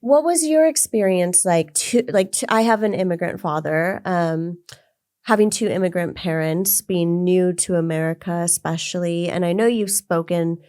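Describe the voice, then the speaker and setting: A woman's voice: fairly low pitch, darkish tone, no echo, studio quality. A woman hosting an interview, affirming and encouraging her guest as she asks questions. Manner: affirming, quick to agree and encourage. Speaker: podcast host. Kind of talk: warm interview conversation.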